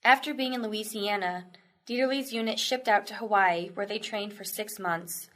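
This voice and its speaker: A woman serves as narrator, reading from a documentary script. Her voice has an average pitch. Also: normal speed, fairly bright tone.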